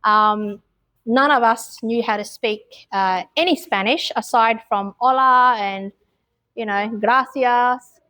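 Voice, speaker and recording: Australian woman, middle-aged, reflective interviewee, somewhat high voice, plenty of ums. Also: slow pace, sharp tone. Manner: open and reflective, frequent ums and restarts. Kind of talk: casual interview answers.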